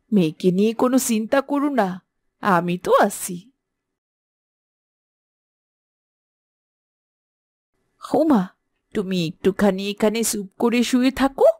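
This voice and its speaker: A woman's voice: mid-range voice, expressive, normal speed, darkish tone, no echo. A woman voicing a poor fisherman's devoted wife and mother in a fairy-tale cartoon, emotional and theatrical. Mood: gentle, reassuring.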